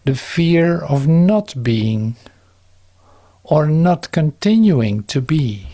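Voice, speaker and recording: A man giving a calm, solemn, measured reading of a devotional text, with drawn-out pauses. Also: on the high side. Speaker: devotional reader.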